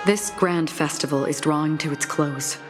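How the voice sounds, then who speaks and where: Woman as grave, low-voiced enigmatic swordswoman, music behind. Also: lightly acted. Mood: solemn, encouraging. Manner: measured, formal, grave. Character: solemn, enigmatic swordswoman guiding the dead, calm and grave. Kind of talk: dramatic video game dialogue.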